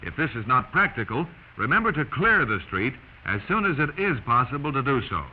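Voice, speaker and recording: An American man narrates a 1940s instructional film from a script. His delivery is deliberate, with lively pitch movement. The old soundtrack is dull and slightly noisy.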